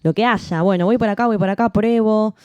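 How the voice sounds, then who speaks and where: A woman, a jazz singer co-hosting a podcast, talking in a relaxed, reflective way with frequent restarts. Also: mid-range voice, fairly quick, clear, resonant tone, no echo, muffled recording. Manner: reflective, many restarts and "¿no?" tags. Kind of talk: casual chat.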